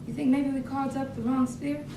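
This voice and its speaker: A grieving young daughter figure, played by a woman, pleading and hesitant in a high voice. It is a stage reading, heard from a distance in an echoey room. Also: fairly even intonation, fast. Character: yearning, grieving daughter desperate to reach dead mother. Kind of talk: staged reading, dramatic dialogue. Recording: echoey room, distant mic, faint noise.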